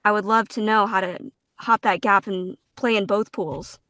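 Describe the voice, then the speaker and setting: A woman voice actor talking casually on a panel, halting as she searches for words, with some self-deprecating humor. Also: medium pitch, fairly even intonation, a bit bright.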